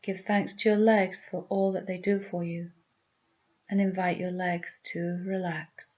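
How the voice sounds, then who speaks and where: Woman, guided meditation, soothing and deliberate, lowish pitch, slightly dull recording. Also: flat delivery. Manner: gentle, soothing, deliberate phrasing. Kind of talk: guided meditation, softly spoken instruction.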